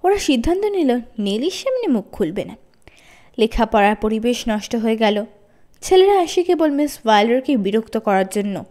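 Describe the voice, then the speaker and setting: A woman narrating a children's story as an expressive audiobook reader. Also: on the high side, moderate pace.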